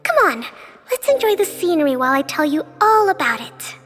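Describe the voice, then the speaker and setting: A gentle young island leader. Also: female voice, sits high, sing-song, fairly quick, big-room sound. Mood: kind.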